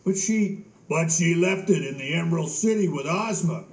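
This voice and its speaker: A man voicing a cool, blunt male gnome general who calmly lectures his king in a storybook reading. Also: on the high side, moderate pace, echoey room, natural voice. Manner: dry, matter-of-fact.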